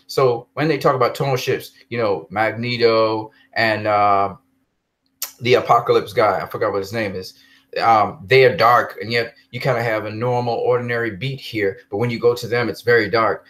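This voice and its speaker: A man's voice: fairly low pitch, steady tone, normal speed, a bit bright. A man hosting a casual chat about films, speaking with enthusiastic emphasis.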